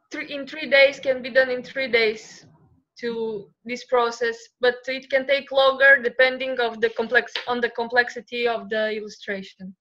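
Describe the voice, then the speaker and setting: Young woman from bulgaria, high bright voice, friendly, hesitant, muffled call audio. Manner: friendly, searching for words with many fillers. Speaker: illustrator guest. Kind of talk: casual interview answers.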